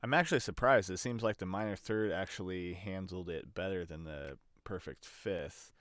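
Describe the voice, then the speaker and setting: Low-pitched man, clear dry tutorial voice. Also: moderate pace, a bit bright. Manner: hesitant, thinking aloud. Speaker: audio production tutorial presenter. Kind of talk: casual explanatory screen demonstration.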